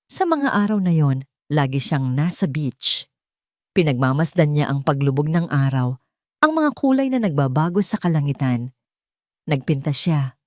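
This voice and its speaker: A woman's voice: low-pitched, a little mellow, dry recording, clean studio sound. A woman reading a scripted romance story aloud in an earnest, dramatic storytelling manner.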